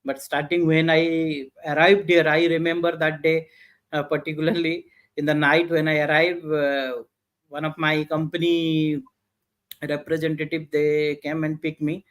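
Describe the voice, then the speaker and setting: Halting Indian man, high voice. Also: level tone, a bit bright. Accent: Indian English. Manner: halting, many "uh" fillers and restarts. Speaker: guest entrepreneur. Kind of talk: interview answers, casual storytelling.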